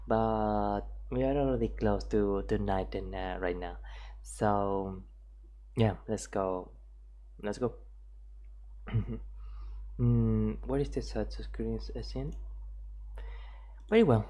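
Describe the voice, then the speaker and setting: Slow, mellow-voiced male streamer. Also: mid-range voice. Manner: rambling, with frequent restarts. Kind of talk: casual livestream commentary.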